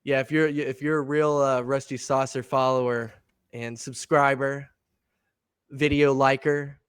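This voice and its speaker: A man's voice: mid-range voice, flat delivery, a little slow, dry recording, clean studio sound. Congested young man hosting a podcast. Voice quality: slightly gravelly, nasal. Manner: easygoing, laughs often, many fillers and restarts. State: congested from allergies. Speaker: podcast co-host. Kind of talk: casual chat.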